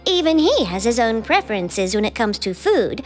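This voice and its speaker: A woman voicing a polite, gentle young non-human girl who speaks in a calm, matter-of-fact way. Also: fairly high pitch, normal speed. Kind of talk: video game voice acting. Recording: game audio with reverb and music.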